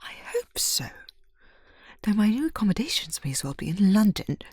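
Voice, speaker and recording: A woman playing a genteel but secretly jealous scheming mistress in an audio drama, with hesitant, deliberate delivery. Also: mid-range voice, sing-song, a little mellow, husky, no room sound, studio quality. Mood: bitter, hushed. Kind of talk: scripted ASMR audio roleplay.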